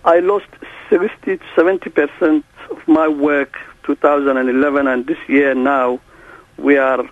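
A man whose first language is Somali, a court interpreter speaking as a guest in a halting way, with many restarts. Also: on the high side, steady tone, dry recording. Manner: halting, restarts often, non-native phrasing. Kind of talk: phone interview. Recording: tinny phone line.

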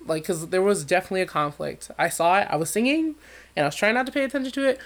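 A man who sings professionally, talking as an interview guest with a high voice and an animated, storytelling manner. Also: rapid-fire. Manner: animated storyteller, acts out quotes with big leaps in pitch. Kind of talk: conversational interview.

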